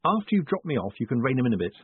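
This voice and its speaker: A man acts out a confident storybook rogue who gives orders lightly. He is smooth-talking and assured, with a slightly husky edge. The audio is thin and phone-like. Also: medium pitch, big pitch swings, racing, no echo. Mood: calmly assured. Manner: breezy, assured. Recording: narrow phone-like sound.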